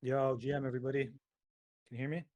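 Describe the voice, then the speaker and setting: A man's voice: medium pitch, flat delivery, fast, a little mellow. A man on a community call speaks in a laid-back, slangy way. He keeps it loose and informal, with plenty of uhs between his points. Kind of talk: casual call discussion.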